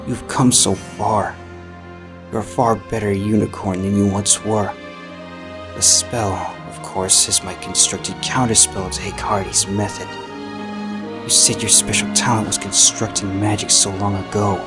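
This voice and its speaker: A man narrating fan fiction in the first person as an anguished, guilt-ridden living book, measured, halting and melodramatic. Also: fairly low pitch, level tone, over loud music. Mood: brooding.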